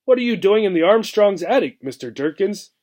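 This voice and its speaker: Guy doing a sweet curious girl gerbil from a kids book, higher voice, wide-eyed questions. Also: sing-song, a little fast, a little mellow. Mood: curious, polite. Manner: questioning, incredulous, earnest. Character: curious, polite young female gerbil, easily shocked.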